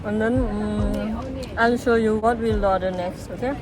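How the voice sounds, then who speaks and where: A woman's voice: medium pitch, steady tone, measured pace. A young woman from Sikkim, a native Nepali speaker, chatting casually as she narrates a travel vlog outdoors and in a restaurant. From Sikkim, India. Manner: chatty and easygoing, with many fillers. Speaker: vlogger. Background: background music.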